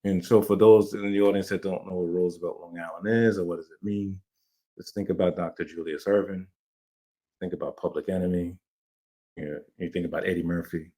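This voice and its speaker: A middle-aged man from Jamaica who grew up in New York talks as a guest on a podcast. His voice sits on the low side, and he rambles with eager restarts as he tells stories from his youth. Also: fairly even intonation, brisk, dark tone. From Jamaica, raised in New York. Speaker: playwright, guest. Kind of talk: casual interview storytelling.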